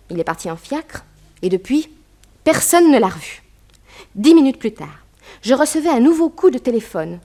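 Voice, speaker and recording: A woman's voice: a little high, mellow. An actress playing an anxious, determined young businessman's daughter in a 1960s French radio drama, speaking earnestly and emphatically. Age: young adult. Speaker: actress in a detective radio serial.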